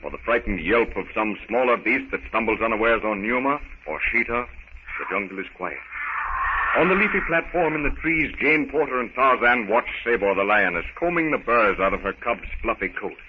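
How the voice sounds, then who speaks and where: A man's voice: on the low side, expressive, moderate pace. A man narrating a scripted 1930s radio drama in a formal storytelling manner. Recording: muffled, noisy 1930s radio recording.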